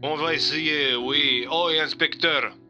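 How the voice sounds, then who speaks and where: A man's voice: fairly high pitch, fairly even intonation, unhurried, pushed and bright, faint music behind, pitched above the performer's own voice. A man playing a dutiful male police officer reporting to his superior in an audio drama. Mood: dutiful, serious.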